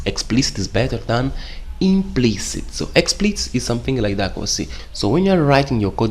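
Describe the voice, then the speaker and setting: A man's voice: on the low side, a little hiss or hum. Portuguese-native man teaching in English, saying "okay?". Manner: rambling, repeats "okay?" often, non-native grammar. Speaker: programming teacher. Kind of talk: casual screen-share tutorial.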